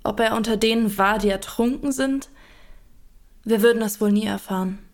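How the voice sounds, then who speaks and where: An actress playing a medieval woman, quietly and sorrowfully narrating a long journey in a radio drama. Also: medium pitch, normal speed, lightly acted.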